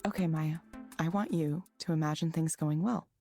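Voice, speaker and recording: A slightly low female voice plays a reserved counsellor in a video game. Her delivery is measured, neutral and detached. Music is clearly audible behind her. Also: mellow. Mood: calm, scripted. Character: composed, reserved woman reading an AI counsellor's script. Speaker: counselling proxy.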